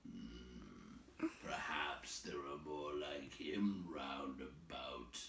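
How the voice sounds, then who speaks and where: A man plays a brooding storybook troll, deep and very slow. The hungry schemer rumbles low in an echoey room. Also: gravelly, a bit bright, recorded from a distance, heavily acted. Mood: pondering.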